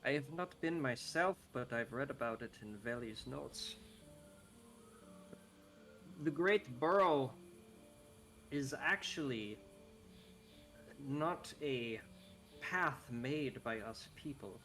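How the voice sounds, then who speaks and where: A man's voice: mid-range voice, lively intonation, slow pace, pauses often, a bit bright, faint music behind. A man voicing a courteous, scholarly male desert guide in a put-on Icelandic accent, speaking formally and explaining at length.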